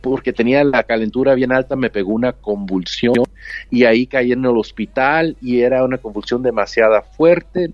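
A man's voice: mid-range voice, normal speed, no echo. Earnest male nurse, English native with a Mexican accent, heard over a dull, dry remote line. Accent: Mexican Spanish. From United States, raised with Mexican family. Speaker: guest, nurse and author. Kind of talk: storytelling interview answer.

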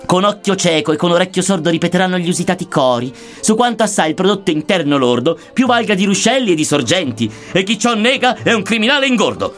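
A man's voice: high voice, sing-song, quick pace, few pauses, a bit bright. A man recites archaic epic verse in a solemn, theatrical manner. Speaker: reader of verse. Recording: music under the voice. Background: music.

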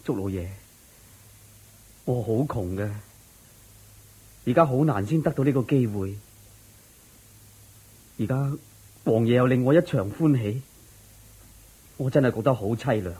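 A man's voice: mid-range voice, a little slow, long pauses, darkish tone, husky. A man with a Hong Kong Cantonese accent playing a poor, humble musician in a radio drama, meek and pleading. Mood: despairing.

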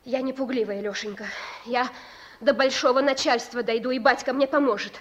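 A high-voiced young woman in love, played by an actress in a Russian radio play. She is devoted and stubborn, and speaks in plain colloquial phrases that both plead and insist. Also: steady tone, brisk, lo-fi sound, lightly acted. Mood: tender persuading. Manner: pleading yet resolute, colloquial. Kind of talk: Russian radio drama acting.

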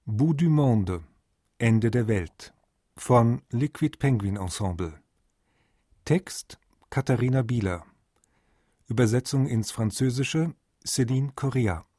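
A man's voice: mid-range voice, lively intonation, slow, pauses often, warm tone, no echo, clean studio sound. A man announcing radio programme credits from a script.

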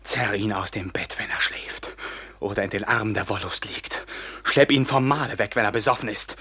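An actor playing a passionate, vengeful robber captain in declamatory classic radio drama style, husky and sharp. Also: male voice, on the low side, moderate pace. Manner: declamatory, swinging from hushed horror to fierce shouts.

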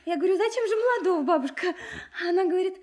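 An actress playing an impulsive, trusting young woman in love in a radio play, emotional and halting. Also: very high voice, animated, darkish tone. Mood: eager, chatty. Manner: breathless, emotional, halting, repeating words. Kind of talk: Russian radio play acting.

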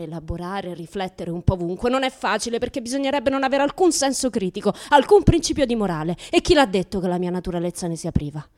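A woman's voice: fairly low pitch, close to an everyday voice. Actress as a defiant, chatty Roman woman. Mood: hushed, confiding, then indignant. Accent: Roman Italian. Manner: colloquial, rhetorical questions, swinging between hushed asides and loud outbursts. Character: self-styled naive young woman, defiant, chatty, indignant. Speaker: actress performing a monologue. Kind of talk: theatrical monologue for radio, colloquial and confessional.

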